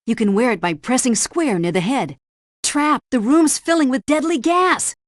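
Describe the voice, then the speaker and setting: Precise spy agency helpdesk operator. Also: female voice, high-pitched, animated, moderate pace, dry recording, studio quality. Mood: urgent alarm. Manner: precise, instructive, briefing-like delivery. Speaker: mission helpdesk guide. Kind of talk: scripted game tutorial narration.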